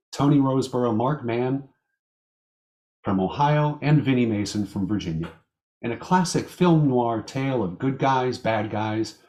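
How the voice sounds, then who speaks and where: A man announcing a vintage-style radio show, reading a scripted introduction in a measured, theatrical way. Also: medium pitch, a little mellow.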